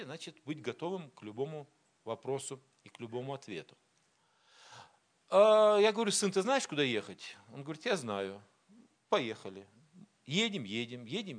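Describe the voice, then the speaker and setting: A middle-aged man from Siberia, a preacher telling a personal story in a sermon and acting out the dialogue. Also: medium pitch. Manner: storytelling, quoting dialogue, grows animated.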